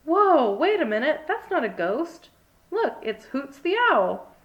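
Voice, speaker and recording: Young girl monster acted by a woman, bold, playful, excitable, high voice, wide pitch swings. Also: measured pace, dull audio. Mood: amazed. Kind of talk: read-aloud children's storybook.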